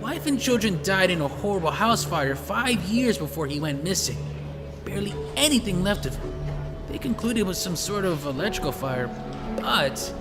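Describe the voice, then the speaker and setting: Guy doing chatty sarcastic cop sidekick, high pitched male voice, echoey hall sound, music behind. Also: big pitch swings, normal speed. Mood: earnest briefing. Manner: talkative, sardonic, eager. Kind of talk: audio drama dialogue. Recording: reverberant hall, music underneath.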